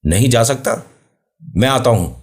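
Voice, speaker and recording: A man voicing an elderly village uncle who urgently and insistently scolds a youngster for being out in the dark. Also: deep voice, normal speed. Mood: scolding.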